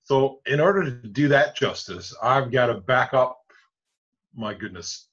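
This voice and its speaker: A man's voice: medium pitch, animated, a bit bright, breathy edge. A man in his forties, an Anglican rector with a Newfoundland accent, explaining church decisions step by step over a video call from his study. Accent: Newfoundland English. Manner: measured, explains step by step with many restarts. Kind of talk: explanatory interview answers. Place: home study with bookshelves. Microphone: video call webcam. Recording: muffled lo-fi video call audio.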